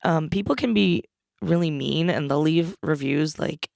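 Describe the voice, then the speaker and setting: A woman co-hosting a podcast in casual chat, with a hoarse, froggy voice, chatty and laughing often. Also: deep voice, unhurried, darkish tone, no echo, clean studio sound. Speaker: podcast co-host.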